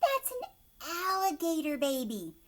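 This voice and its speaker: A woman's voice: very high voice, big pitch swings, unhurried, voice pushed up, full character voice. Woman voicing a skeptical little storybook girl, emphatic. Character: Kristen, young girl, skeptical and matter-of-fact. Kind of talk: children's storybook read-aloud.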